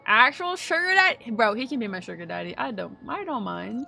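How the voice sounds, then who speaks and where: A woman's voice: fairly high pitch, sing-song, fairly quick, gravelly, sharp tone, soft background music. A woman game streamer giving casual, playful, slangy live reactions.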